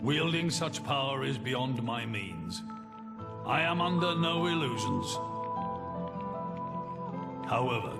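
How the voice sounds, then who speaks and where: An actor playing a solemn, regal monarch in a video game, speaking formally and gravely with weighty pauses. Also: male voice, mid-range voice, heavily acted. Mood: grave, searching. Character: solemn, regal male monarch burdened by his judgment. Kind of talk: dramatic video game cutscene acting. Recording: loud music behind the voice.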